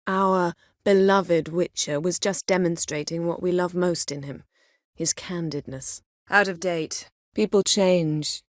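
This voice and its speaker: A woman voicing a proud, sharp-tongued sorceress in a video game, speaking in a cool, measured, sardonic and commanding manner. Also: lowish voice, steady tone, dry recording, clean studio sound, lightly acted. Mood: dry, sardonic.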